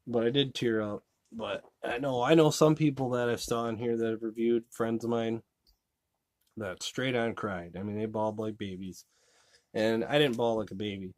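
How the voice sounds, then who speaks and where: A man's voice: mid-range voice, steady tone, normal speed, dry recording. A man giving a casual, rambling movie review, full of fillers like "you know" and restarts. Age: adult.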